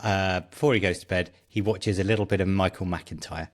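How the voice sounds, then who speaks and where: A middle-aged British man speaks as an interview guest. His voice is fairly low, and he talks in a casual, anecdotal way. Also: fairly even intonation, fairly quick. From Britain. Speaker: podcast guest, tech founder.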